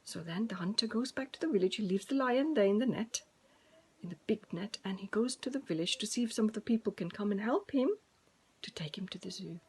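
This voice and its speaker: A woman's voice: medium pitch, expressive, fairly quick, darkish tone, slightly rough. A woman telling a story to preschool children in an informal way, with simple, repeated phrases addressed to young listeners.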